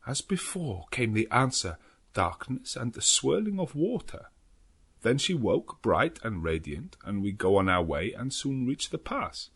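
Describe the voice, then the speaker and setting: Earnest old professor, swooping intonation. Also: male voice, mid-range voice, big pitch swings, fairly bright tone, husky, full character voice. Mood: solemn, earnest. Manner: halting, non-native English phrasing, deliberate and dramatic. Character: elderly foreign professor, earnest, grave, devout vampire hunter. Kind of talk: dramatic audiobook narration of a journal.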